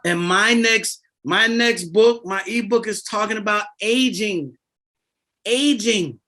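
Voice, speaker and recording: A man in his fifties, a guest on a podcast, explaining finance in an animated, emphatic way and repeating his key words. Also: high-pitched, takes it slowly. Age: 50s. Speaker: guest, insurance and debt author.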